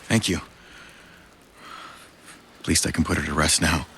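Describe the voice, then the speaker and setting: An actor playing a bitter, world-weary survivor, cynical and foul-mouthed, in a video game cutscene. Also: male voice, fairly even intonation, pushed and bright, heavily acted. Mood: regretful. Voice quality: very gravelly, fried. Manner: cynical, profane, halting. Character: bitter, world-weary male outrider's son, debt-ridden survivor. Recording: clear background rumble and ambience.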